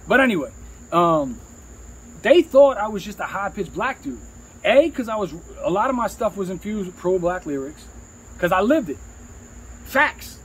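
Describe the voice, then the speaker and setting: A man in middle age with an unusually high-pitched voice chats through stories of his rap career. His phrasing is loose, slangy and profane, and he repeats lines for emphasis. There is faint ambient noise in the background. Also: a bit bright, poor audio. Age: middle-aged. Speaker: rapper telling career stories. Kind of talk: casual storytelling chat.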